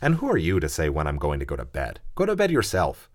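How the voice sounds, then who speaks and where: A man's voice: very deep voice, huge pitch swings, fast talker, full character voice. Sulky, bad-tempered younger brother read by a man, storybook boy, snappish.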